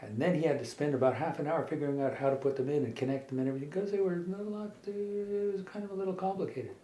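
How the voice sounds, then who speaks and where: A middle-aged man telling a made-up children's story in a halting, folksy way, repeating words as he goes. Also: a little high, warm tone. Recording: echoey room with faint background noise.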